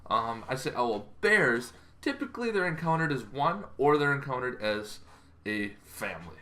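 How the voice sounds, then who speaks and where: A man's voice: medium pitch, lively intonation, moderate pace, sharp tone. A man hosting an informal, unscripted explainer, thinking aloud in a rambling, hesitant way. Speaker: YouTube host giving game lore advice.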